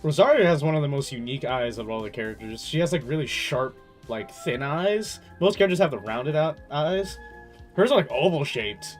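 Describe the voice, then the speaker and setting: A man's voice: a little high, animated, a little fast. A young man giving casual, informal playthrough commentary as a game streamer, reacting aloud. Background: faint game music.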